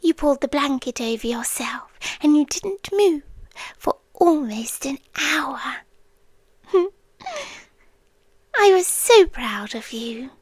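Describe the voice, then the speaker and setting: Woman playing possessive yandere girlfriend, British accent, high soft voice, breathy whispers, unhurried, intimate ASMR roleplay, dry close mic. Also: expressive, no room sound. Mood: possessive, soft menace. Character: obsessive, possessive yandere girlfriend lurking under the bed. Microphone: close, dry microphone.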